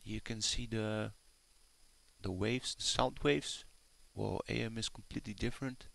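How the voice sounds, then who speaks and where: A man's voice: lowish voice, fairly even intonation, a little slow, creaky, a bit bright. A man giving casual, rambling, self-deprecating commentary as a YouTuber over a screen recording.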